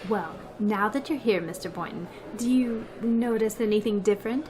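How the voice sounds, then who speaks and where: A woman's voice: mid-range voice, normal speed, background noise, natural voice. A woman playing a long-suffering schoolteacher in an old-time radio comedy, with dry, sarcastic delivery. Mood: wry, brisk.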